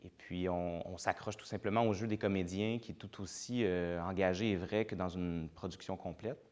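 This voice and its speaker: Guy, really low voice, thinks out loud with lots of euh. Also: fairly even intonation, moderate pace. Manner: hesitant, reflective, many fillers. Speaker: playwright. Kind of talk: interview.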